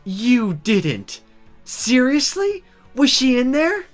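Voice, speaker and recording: A streamer voicing a lively, teasing school friend in a magic visual novel, blunt and excitable. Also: sits high, slow pace, faint music behind, pitched above the performer's own voice, full character voice. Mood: astonished, eager.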